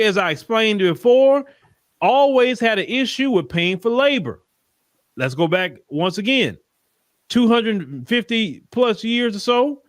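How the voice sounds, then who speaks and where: Man, animated intonation, dry close sound, explanatory commentary, short emphatic phrases. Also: high-pitched, no room sound. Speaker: live stream commentator.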